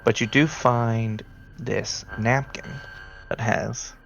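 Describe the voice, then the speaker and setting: A man running a tabletop horror game as game master, talking in a halting, conversational way. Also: medium pitch, fairly even intonation, gravelly, a bit bright, muffled recording, soft background music.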